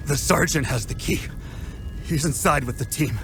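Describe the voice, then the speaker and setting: An actor playing a wounded, rattled soldier in pain, speaking urgently and haltingly in a video game. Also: male voice, a little high, background noise, lightly acted. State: hurt, in pain. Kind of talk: naturalistic video game dialogue acting.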